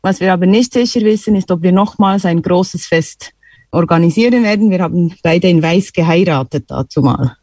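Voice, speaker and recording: A woman, answering calmly in a radio interview. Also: on the low side, moderate pace, slightly rough, dry recording. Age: 30s. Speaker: interview guest.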